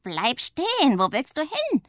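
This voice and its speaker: A woman voicing a loud, bossy and mischievous witch who talks in a gruff, scolding way, with a breathy voice. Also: medium pitch, sing-song, moderate pace, dry recording, studio quality. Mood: rowdy.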